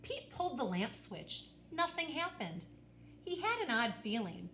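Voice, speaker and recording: A woman reads a children's picture book aloud in an expressive, dramatic way, pausing and stressing key words. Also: on the high side. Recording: roomy echo, faint background noise.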